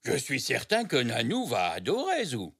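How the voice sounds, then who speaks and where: Lively old cartoon grandpa zebra. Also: male voice, medium pitch, normal speed, creaky, slightly rough, full character voice. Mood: kindly, helpful. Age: older. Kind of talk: French children's cartoon dubbing.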